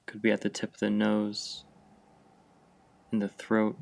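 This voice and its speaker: A man leading a guided meditation, giving gentle, unhurried instructions with long pauses between phrases. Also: lowish voice, monotone, gravelly. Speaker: meditation guide, mindfulness fellow. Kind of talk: guided meditation, slow and spacious.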